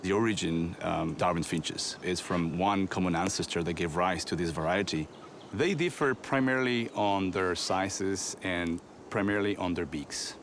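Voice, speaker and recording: Guy, biologist, deep voice, lots of um and uh, some faint noise around. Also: moderate pace. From Ecuador. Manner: thoughtful, with frequent ums and uhs. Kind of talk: interview explanation.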